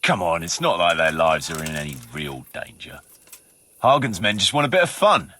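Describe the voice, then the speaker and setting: An actor playing a gruff, cautious mercenary chief in a video game, dry and folksy in his orders. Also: deep voice, sing-song, normal speed, sharp tone, a little hiss or hum, full character voice. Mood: dry, dismissive. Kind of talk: video game cutscene acting.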